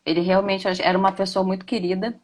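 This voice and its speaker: A woman's voice: mid-range voice, level tone, quick pace, darkish tone. A woman teacher with a Rio Grande do Sul accent, chatting casually on a video call with frequent hesitant restarts. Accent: Rio Grande do Sul Portuguese. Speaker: administration teacher. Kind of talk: casual live class chat.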